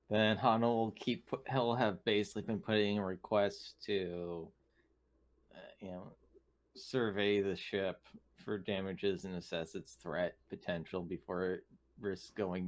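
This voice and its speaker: A man's voice: deep voice, level tone, takes it slowly. A man chatting casually as a player in a tabletop roleplaying game.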